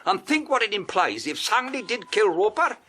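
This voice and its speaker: A blustering police inspector from a 1930s detective radio play. His voice sits high and rings out forcefully, with lively swings and gruff exclamations. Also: moderate pace, exaggerated voice. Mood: exasperated. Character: blustering, hard-boiled male police chief inspector.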